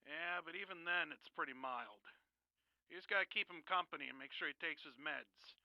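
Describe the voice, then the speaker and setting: An actor playing a wheedling agency boss in a radio drama, gravelly, casual and hedging as he talks. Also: on the high side, moderate pace, no echo, dull audio. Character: evasive, wheedling male boss of a care agency. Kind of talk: naturalistic radio drama acting.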